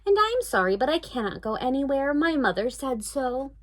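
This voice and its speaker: A woman's voice: high voice, big pitch swings, moderate pace, voice pushed up. A woman voicing a polite, timid little girl in a storybook reading, in a raised, worried voice. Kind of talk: reading a picture book aloud.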